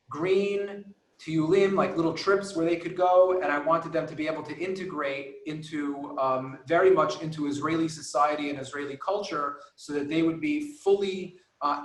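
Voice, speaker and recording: A man's voice: a little high, a bit bright, echoey room, low-quality recording. Earnest New man on a video call. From New York. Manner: apologetic, wordy and earnest. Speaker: guest immigrant parent. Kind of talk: webinar talk.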